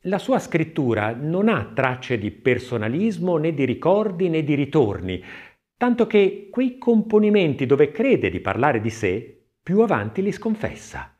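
Expressive male narrator with lively intonation. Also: on the high side. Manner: expressive, measured literary reading with deliberate phrasing. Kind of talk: scripted audiobook narration of an art-history essay, with recited verse.

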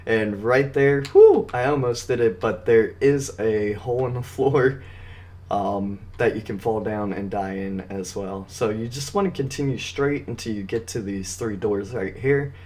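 Low-voiced man guiding a game walkthrough. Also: fairly even intonation, measured pace, a little mellow. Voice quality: slightly scratchy voice. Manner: casual, instructional, step-by-step. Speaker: gaming video guide host. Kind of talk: casual video game walkthrough commentary.

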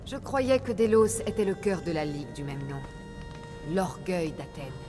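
Low-voiced, wry warrior woman. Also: very animated, measured pace. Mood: cool, sceptical. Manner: cool, dry, self-assured. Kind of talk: video game voice acting in French. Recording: game dialogue over loud music.

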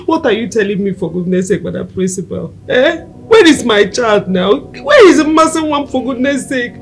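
High, lively frantic mother as a woman acts her out. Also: moderate pace, faint music behind. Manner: pleading, frantic, crying out to God. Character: distraught mother searching for her missing daughter. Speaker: worried mother. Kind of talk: film drama acting.

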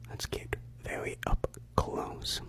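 A young man whispering ASMR into a very close microphone, confiding and playfully coaxing the listener. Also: slow. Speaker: ASMR creator. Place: bedroom indoors. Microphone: large desktop microphone, very close.

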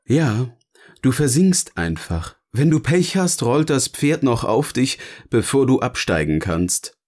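Ein Mann spielt in einem Hörspiel einen eloquenten, sardonischen Erzähler, der bedächtig und theatralisch philosophiert. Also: male voice, medium pitch, big pitch swings, takes it slowly, darkish tone. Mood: wry, musing. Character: eloquent, sardonic male narrator finally free to speak. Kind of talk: scripted audio drama dialogue, philosophical musing.

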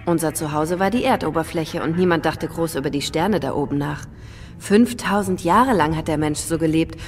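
Lower-pitched woman, thoughtful, cautious, measured, acted, sci-fi radio drama, faint music behind. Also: lightly acted. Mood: thoughtful, calm. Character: thoughtful, cautious woman company owner in a science-fiction future. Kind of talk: German radio drama acting.

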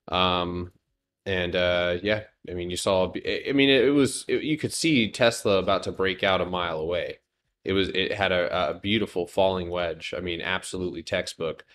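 A man of thirty with a somewhat low voice walks through stock charts on a live stream. He talks casually and loosely, full of fillers, breaking off to joke with his viewers. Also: normal speed, a bit bright, a little hiss or hum. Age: 30s. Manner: loose, joking with chat, many fillers.

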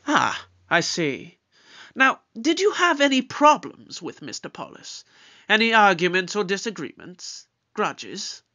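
A man playing a shrewd, authoritative detective in an audio drama, with a pompous, deliberate, probing delivery. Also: sits high, big pitch swings, bright, forceful tone, heavily acted. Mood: calm, interrogating. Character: shrewd, authoritative male time-travelling detective, pompous and suspicious.

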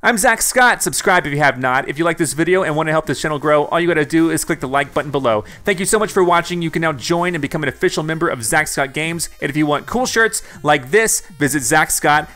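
A man's voice: on the high side, lively intonation, quick pace, few pauses, bright, forceful tone. A man hosting a gaming channel, narrating a video game guide in an upbeat, conversational way straight to viewers.

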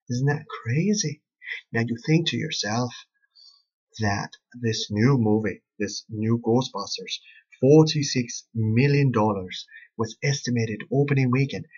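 Man in his forties, husky voice, casual vlog review, repeats phrases for emphasis, dull webcam sound. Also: medium pitch. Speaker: vlogger reviewing a film. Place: small bedroom, indoors. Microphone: webcam at arm's length.

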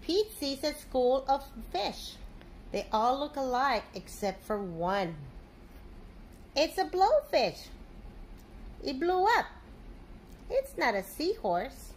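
A middle-aged woman, a teacher reading a picture book aloud to young children in an animated, simple way. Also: sits high, takes it slowly, pauses often, a little hiss or hum. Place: living room at home, indoors.

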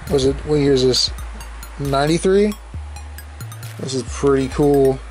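A man's voice: medium pitch, measured pace, faint music behind, low-quality recording. Rambling young man opening comics. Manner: rambling, excitable, swears casually. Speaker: podcast host opening comics. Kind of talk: casual unboxing commentary. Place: indoors at home, filming comics on a bed.

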